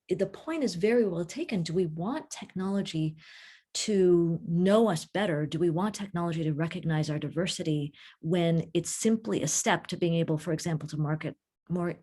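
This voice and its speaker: A woman's voice: fairly low pitch, a little mellow. A woman guest lecturer answering audience questions in an academic discussion, emphatic and often restarting her sentences.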